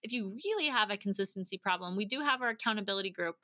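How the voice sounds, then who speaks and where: A middle-aged woman giving advice as a podcast guest in a casual interview, chatty and animated and given to laughing. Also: mid-range voice, racing. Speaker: podcast guest, language-learning company founder.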